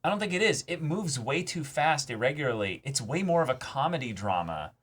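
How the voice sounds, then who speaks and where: A man's voice: medium pitch, very animated, fairly quick, fairly bright tone, breathy edge. A man co-hosting a reaction show, talking in a casual, emphatic, enthusiastic way like an eager fan. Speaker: co-host of an anime reaction channel. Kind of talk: casual chat, reaction discussion.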